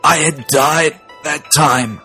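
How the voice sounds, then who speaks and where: A man voicing a dying, undead doctor in a horror story, desperate and halting as he gives a final confession. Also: on the high side, steady tone, sharp tone, soft background music, voice pushed up, exaggerated voice. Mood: desperate, anguished.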